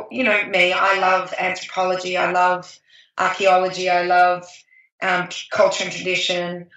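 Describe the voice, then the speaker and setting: A woman's voice: medium pitch, fairly bright tone, recorded from a distance, highs cut off. A woman co-hosting a podcast, talking enthusiastically in a rambling way with many restarts. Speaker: podcast co-host. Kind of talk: casual chat. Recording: echoey room, voice off-mic.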